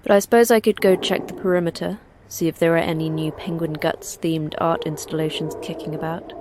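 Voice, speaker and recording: An actress playing a terse, sarcastic manager of a secret facility in an audio drama, with a dry, curt delivery. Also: female voice, deep voice, fairly even intonation, a little fast, background noise, close to an everyday voice. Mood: dry, sardonic. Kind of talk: audio fiction acting.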